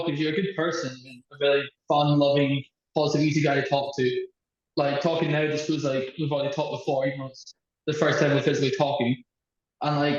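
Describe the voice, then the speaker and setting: Young adult Scottish male podcast guest. Chatty, easygoing delivery, heavily reverberant room, muffled lo-fi sound. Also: a little high. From Scotland. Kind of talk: casual chat. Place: echoey indoor room at a hostel.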